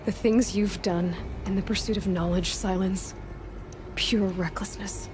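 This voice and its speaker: A woman's voice: medium pitch, fairly even intonation, measured pace, over music, lightly acted. An actress playing a young female hunter in a video game, bold and skeptical, with a pointed, sardonic and challenging way of speaking. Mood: disapproving. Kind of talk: video game cutscene acting. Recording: clear background noise and ambience.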